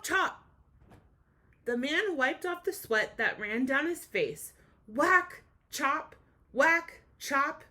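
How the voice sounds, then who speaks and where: Expressive woman teacher reading aloud to children. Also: high-pitched. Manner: enthusiastic, addresses children directly with questions. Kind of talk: expressive storybook read-aloud with teacherly asides.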